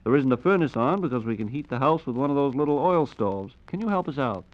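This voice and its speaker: Man, American, 1940s radio announcer, formal, courteous, scripted delivery, mid-range pitch, lo-fi vintage broadcast sound. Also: lively intonation, quick pace. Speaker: radio host.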